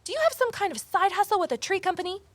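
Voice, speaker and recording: An actress playing an inquisitive amateur sleuth woman in an audio drama, expressive and pointed in her delivery. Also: very high-pitched, very fast, no room sound. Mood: startled, accusing. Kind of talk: full-cast audio drama acting, narration and dialogue.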